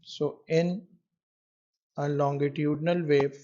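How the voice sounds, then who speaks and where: Male tutor, slightly high voice, unhurried step-by-step explaining, pauses between phrases, dull lo-fi recording. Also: steady tone, warm tone. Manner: measured, pausing while writing out answers. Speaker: physics tutor. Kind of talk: tutorial explanation of an exam question. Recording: dull lo-fi microphone sound.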